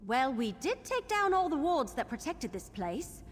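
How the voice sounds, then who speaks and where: An actress voicing an eager, adventurous young wood elf archer in a video game, bold and excitable. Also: female voice, high voice, unhurried, long reverb tail, slight background noise. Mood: urgent.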